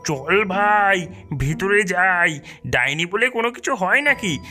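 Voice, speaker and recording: An actor voicing a curious, reckless young boy in a cartoon, with drawn-out, exclamatory delivery. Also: medium pitch, animated, pushed and bright, faint music behind. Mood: curious, cocky. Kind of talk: cartoon voice acting.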